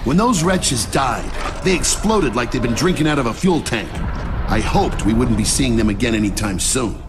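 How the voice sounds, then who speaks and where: An actor playing a soldier who recounts a failed mission in a measured, matter-of-fact way for a military action game. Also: male voice, medium pitch, expressive, natural voice. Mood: grave, reflective. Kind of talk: first-person narration in a military action game. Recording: loud music under the voice.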